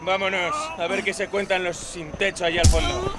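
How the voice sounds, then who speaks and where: An actor playing a vicious young skinhead gang leader in an audio drama, sneering and barking taunts and orders. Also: male voice, sits high, flat delivery, normal speed, heavy background noise, natural voice. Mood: brutal, commanding. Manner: sneering, barked orders and insults. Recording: outdoor street scene under loud music and din.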